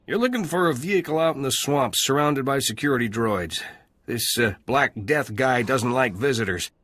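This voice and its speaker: A man's voice: medium pitch, lively intonation, moderate pace, a bit bright, dry recording. A man playing a breezy, cynical salvage dealer in a video game, with casual, wry, chatty delivery. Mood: wry, scheming. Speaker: salvage trader character.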